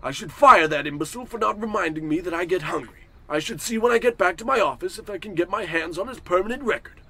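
A man's voice: high voice, quick pace, creaky, pushed and bright, breathy edge, heavily acted. A man playing a pompous, cantankerous, scornful rich businessman in a comedic old-time radio play. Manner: pompous, grumbling to himself.

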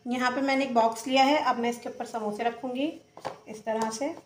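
A woman with a Pakistani Urdu accent hosting a cooking channel, calmly narrating each step of a recipe as she works. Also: on the high side, fairly quick, slight background noise.

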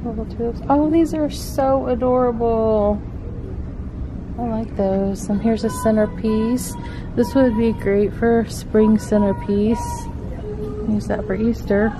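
A middle-aged woman talks slowly and easily as she walks the aisles of a home decor store with a handheld camera. She points out prices and cute pieces in a chatty, friendly way. Clear background music plays under her voice. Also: on the high side, steady tone, low-quality recording. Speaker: vlogger. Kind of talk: shop-with-me vlog.

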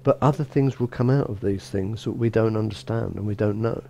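A middle-aged man, a bishop, gives a relaxed sermon in a small church, talking conversationally with frequent restarts and "you know". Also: fairly low pitch, a little fast, creaky, slight background noise. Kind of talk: informal sermon.

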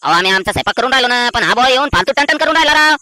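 Man as a fast-talking, defensive cartoon cook. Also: extremely high pitch, expressive, pushed and bright, a little hiss or hum, exaggerated voice. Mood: aggrieved. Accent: rural Maharashtrian. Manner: defensive, argumentative. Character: harried, stubborn male wedding cook. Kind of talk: cartoon comedy dialogue.

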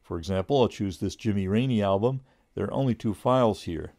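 A man with a low, relaxed voice, recorded close and dry. He narrates a product review in measured, informative sentences, like a scripted how-to. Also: no room sound, faint music behind.

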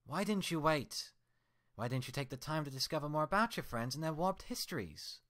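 A man's voice: a little high, lively intonation, a little fast, fairly bright tone, voice pushed up. A man voicing a furious young female unicorn scholar who scolds indignantly. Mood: angry scolding.

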